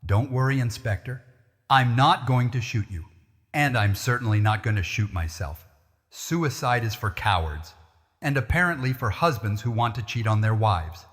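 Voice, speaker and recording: Casting: male narrator as icy widow villain, calm, conversational, cutting, crime audiobook with long reverb. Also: medium pitch, normal speed, lightly acted. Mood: coolly defiant. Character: cool, defiant female murder mastermind, a widow.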